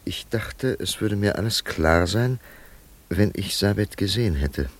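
A man's voice: fairly low pitch, animated, measured pace. An actor playing an earnest, skeptical, rational man in a radio play, formal and probing. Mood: puzzled, reasoning. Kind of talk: German radio play acting.

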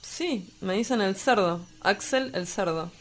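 A woman's voice: mid-range voice, a little slow, natural voice. A woman with an Argentine accent playing a crude, cynical young male street poet in a radio drama, blunt and teasing. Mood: crude amusement.

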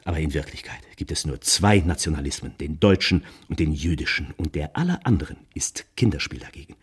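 An urbane impresario in a radio play, with a very low, husky voice. He speaks, effusive and theatrical, flattering his listener and sprinkling in phrases. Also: heavily acted. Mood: emphatic, sardonic. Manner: theatrical, effusive, peppering speech with French phrases. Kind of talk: radio drama monologue.

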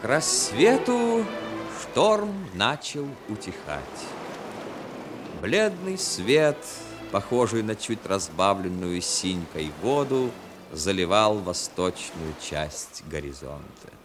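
A man narrating a literary story in a 1960s radio play, expressive and measured. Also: on the low side, over music. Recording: 1960s radio broadcast with faint noise.

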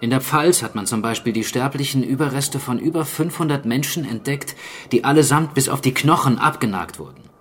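A man playing a sober archaeologist in a radio drama, speaking in a measured, explanatory way. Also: mid-range voice, slight background noise, lightly acted. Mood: grave, explanatory. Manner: measured, matter-of-fact, explanatory. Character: sober male archaeologist explaining a zombie plague. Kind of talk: naturalistic German radio drama acting.

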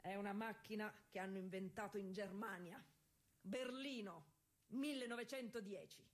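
Actress doing a young lady bike racer from the old days, bit husky, proud n eager, chatty stage monologue. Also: mid-range voice, moderate pace, natural voice. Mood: explaining. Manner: explanatory, conversational, insistent with repeated checks. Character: proud, eager young woman, early 1900s racing cyclist and variety performer. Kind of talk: theatrical monologue addressed to an unseen listener.

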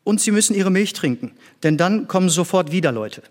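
A man's voice: high voice, lively intonation, fairly quick. An actor playing an eager, cheeky boy pupil who doubts and questions, in a theatrical reading. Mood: eager, reciting.

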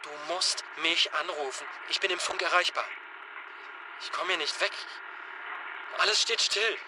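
An actor in a radio drama playing a frantic, worried husband and father caught in a disaster, pleading urgently and haltingly. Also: high voice. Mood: anxious pleading. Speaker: radio worker informing the public. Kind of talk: modern radio drama acting. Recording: noisy radio or phone line, slightly dull.

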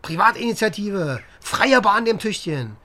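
Brash, animated military pilot. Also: male voice, high-pitched, unhurried, a little hiss or hum, heavily acted. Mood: sarcastic, exasperated. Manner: sweary, agitated, sarcastic. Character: brash, cynical East German lieutenant, helicopter pilot. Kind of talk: naturalistic radio crime drama.

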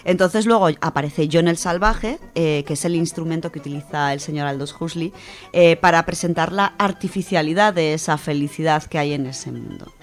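Chatty, low-voiced woman on radio. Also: moderate pace, faint music behind. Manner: chatty, animated, laughs often, many fillers. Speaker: radio co-host presenting a literature section. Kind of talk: casual radio chat and story reading.

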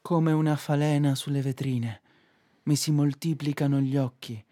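An actor playing a fevered prophet narrating a doomed city, declaiming a theatrical monologue with insistent repetitions. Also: male voice, fairly high pitch, steady tone, moderate pace, mellow, a little hiss or hum, close to an everyday voice. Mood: solemn, poetic.

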